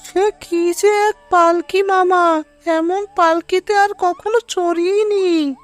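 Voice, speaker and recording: A woman's voice: very high-pitched, fairly even intonation, slow pace, soft background music. A woman voicing a sly trickster fox in an animal fable cartoon, with a sweet-talking, drawling delivery. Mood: sly coaxing.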